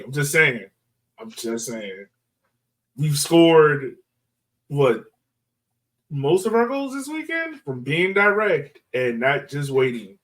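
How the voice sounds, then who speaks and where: A man's voice: fairly high pitch, fairly bright tone, recorded from a distance, rough recording. Man chatting sports, slightly off mic. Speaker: soccer podcast host. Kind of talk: casual sports chat.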